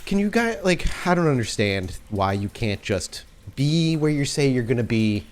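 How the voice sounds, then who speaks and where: A man's voice: mid-range voice, very animated, moderate pace, a little hiss or hum, voice pushed up, lightly acted. Man playing a jittery, whiny young guy. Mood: exasperated. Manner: hesitant, whiny, complaining. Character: jittery, exasperated young man in his twenties.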